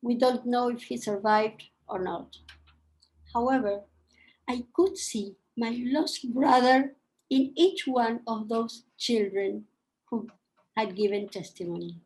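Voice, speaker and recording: Older woman from argentina, polish first tongue, high voice, reads slow and emotional. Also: a little mellow, rough recording. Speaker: panel speaker. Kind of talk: reading a prepared personal account.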